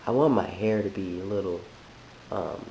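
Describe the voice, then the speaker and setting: A man who is sick, talking in a halting, thoughtful way with many restarts, in a reflective personal monologue. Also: deep voice, mellow. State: sick, voice deeper than usual. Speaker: vlogger sharing a transition update. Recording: echoey room with faint hiss.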